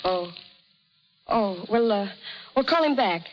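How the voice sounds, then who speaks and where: An actress playing a headstrong, wounded young socialite in a 1930s radio drama, pleading in a dramatic manner. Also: female voice, medium pitch, lively intonation, slow, lo-fi sound. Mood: disappointed, coaxing. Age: young adult. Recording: vintage radio recording, faint music.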